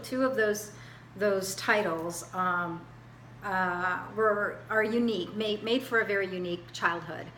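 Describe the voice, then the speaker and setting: Bright-voiced woman in her forties, hesitant. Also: medium pitch, roomy sound, slight background noise, low-quality recording. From Hawaii, US. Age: 40s. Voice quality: hesitant, many fillers and restarts. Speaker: student. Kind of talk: self-introduction video.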